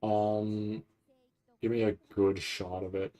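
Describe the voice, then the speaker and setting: A man giving casual, off-the-cuff commentary on a video, thinking aloud in a halting way and often repeating himself. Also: lowish voice, flat delivery, warm tone, low-quality recording. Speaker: anime reaction streamer.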